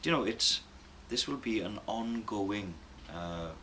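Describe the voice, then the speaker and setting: A man's voice: on the low side, animated, takes it slowly, bright, forceful tone. A man who works as a Welsh language tutor, giving thoughtful interview answers with frequent ums and restarts. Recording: noisy background hiss.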